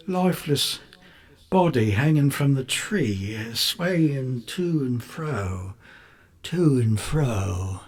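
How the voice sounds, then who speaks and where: An actor playing a bitter, unravelling British photographer in an audio drama monologue, rambling and coarse, darkly matter-of-fact. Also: male voice, on the high side, a little mellow, a little hiss or hum. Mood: haunted, halting. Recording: music under the voice.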